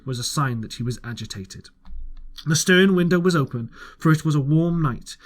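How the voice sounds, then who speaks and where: A man narrating a first-person audiobook, an amateur reader who now and then stumbles over words. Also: mid-range voice.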